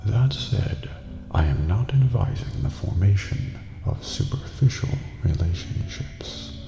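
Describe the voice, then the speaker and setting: An eerie old keeper of a dream prison, very low and gravelly. He speaks in a slow, formal, cryptic near-whisper, as in a game roleplay, inside a reverberant hall with music behind. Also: expressive, mellow, full character voice. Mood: grave, patient. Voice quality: deep, breathy, creaky near-whisper. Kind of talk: scripted ASMR roleplay based on a video game.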